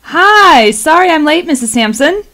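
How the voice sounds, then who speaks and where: A woman's voice: sits high, big pitch swings, a little slow, bright, forceful tone, full character voice. A woman acting in a radio play as a friendly professional in her thirties, polite and playful. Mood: bright greeting.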